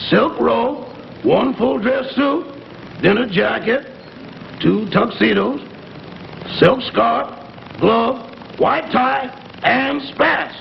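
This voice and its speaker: A man acting as a wry, comically self-serving valet in a 1960s TV sitcom, with a gravelly, croaky voice. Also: very high-pitched, full character voice. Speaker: household valet. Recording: muffled, noisy 1960s TV soundtrack, audience.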